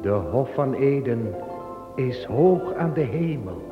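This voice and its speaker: A man playing a solemn angel who speaks slowly and gravely in an old radio play. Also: medium pitch, animated, over loud music. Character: solemn angel Michael, calm and prophetic. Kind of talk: 1960s Dutch radio drama. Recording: dull old radio recording.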